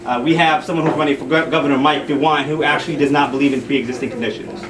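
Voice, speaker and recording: A man's voice: a little high, steady tone, fast talker. A man giving an informal political speech, conversational and repeating phrases for emphasis. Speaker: community speaker and event host. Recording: distant mic in noisy echoey room.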